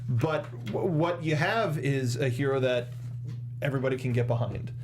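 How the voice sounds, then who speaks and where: A young man co-hosting a casual film discussion, talking in a halting, rambling way and rephrasing as he goes, close on a studio mic. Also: mid-range voice, noisy background. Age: young adult. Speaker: co-host of a film discussion show. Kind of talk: casual review chat. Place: small indoor studio. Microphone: close studio desk microphone with foam cover, headphones.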